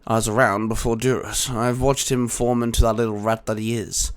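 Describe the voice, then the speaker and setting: A man voicing a calm, grave mentor of heroes in an audiobook, speaking in a measured, emphatic way. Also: mid-range voice, clear, resonant tone, lightly acted. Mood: solemn. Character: calm, grave male mentor guarding the multiverse. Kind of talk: dramatic audiobook character acting.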